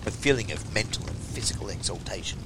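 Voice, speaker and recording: A man giving a theatrical, deliberate and emphatic reading of a horror story as its narrator. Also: fairly low pitch, lively intonation, background noise.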